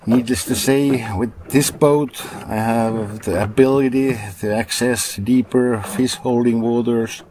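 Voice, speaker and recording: A man's voice: medium pitch, steady tone, a little slow, hardly any pauses, darkish tone, a little hiss or hum. A middle-aged man narrating a fishing vlog casually, in an understated, dryly humorous way. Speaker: fishing vlogger.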